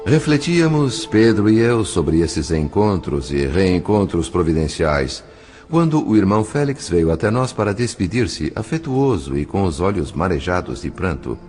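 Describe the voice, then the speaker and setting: A Brazilian man playing an earnest, reverent spirit who narrates an audio drama in a formal, measured, literary way. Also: sits low, big pitch swings, darkish tone, faint music behind. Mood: reflective, reverent.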